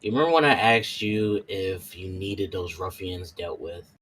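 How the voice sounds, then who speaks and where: A man playing a tabletop roleplaying game, bargaining in character in an insistent, deliberate way. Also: low-pitched, expressive, a bit bright, a little hiss or hum, poor audio.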